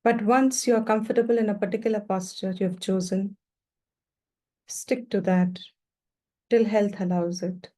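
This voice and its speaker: Mellow-voiced woman guiding a meditation. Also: mid-range voice, steady tone. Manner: gentle, unhurried, pausing between short phrases. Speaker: meditation teacher. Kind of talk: guided meditation instruction.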